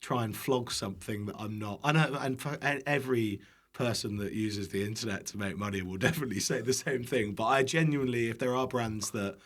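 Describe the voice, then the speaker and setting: A man's voice: medium pitch, a little fast, fairly bright tone. A British man telling casual anecdotes as a guest, in a self-deprecating way with some casual swearing. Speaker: guest, internet cooking creator.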